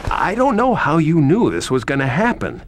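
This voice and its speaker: A nervous, foul-mouthed game cop with a highish voice that swings widely. He is harried, hesitant and exasperated, dragging his words over background music. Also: wildly swooping pitch, normal speed. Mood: uneasy. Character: harried male police officer, nervous, pleading, foul-mouthed.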